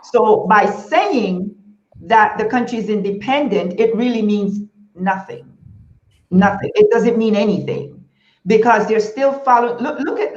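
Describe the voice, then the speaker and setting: A woman's voice: on the high side, echoey room, dull audio. A woman hosting a panel talk show, speaking emphatically and repeating points for stress.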